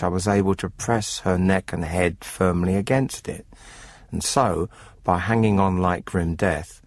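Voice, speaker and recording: An actor playing a cold, methodical poultry farmer who confesses to murder in a formal, matter-of-fact, unrepentant radio drama narration. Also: male voice, sits low, no room sound.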